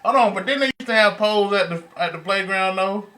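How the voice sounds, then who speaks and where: A man's voice: high-pitched, fast talker, bright, forceful tone. A man talking casually as a podcast co-host in an informal, slangy way. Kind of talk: casual chat.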